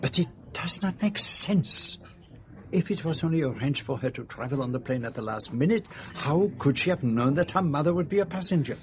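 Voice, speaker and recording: An actor playing a proud, middle-aged Belgian detective in a radio drama, with a French-speaking Belgian accent and a formal, deliberate manner. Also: male voice, fairly high pitch, lively intonation, lightly acted. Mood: troubled, indignant. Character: precise, proud Belgian detective, brooding then urgent.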